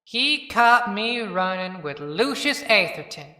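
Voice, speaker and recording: A man's voice: high-pitched, sing-song, slow pace, sharp tone, recorded from a distance, heavily acted. A man voicing a brazen, unfaithful small-town young woman in a knowing audio-play monologue. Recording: long cavernous reverb.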